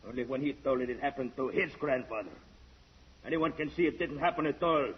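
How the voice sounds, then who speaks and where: A man's voice: mid-range voice, brisk, slight background noise, natural voice. An actor playing a bitter, defiant young village man in an old-time radio drama, speaking with brooding intensity. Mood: scornful. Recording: muffled old radio recording.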